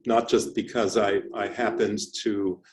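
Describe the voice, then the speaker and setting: A middle-aged man from Canada giving a lecture presentation, speaking in a thoughtful, measured way with frequent restarts and fillers. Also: on the low side, level tone. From Canada (Toronto). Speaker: professor giving a talk.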